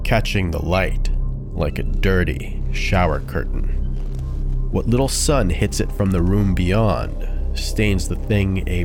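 A man narrating a scripted true-crime horror podcast as its host. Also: low-pitched. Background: music.

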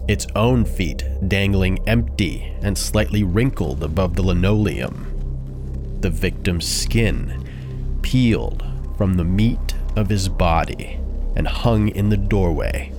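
Low-voiced man narrating dark true crime. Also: takes it slowly. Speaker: podcast host and narrator. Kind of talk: scripted narration of a true-crime horror podcast. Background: music.

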